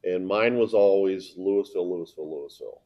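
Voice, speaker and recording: An American man, a local broadcaster, talking informally and answering questions in a plainspoken, halting way. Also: sits low, highs cut off. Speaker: local sports broadcaster and video producer.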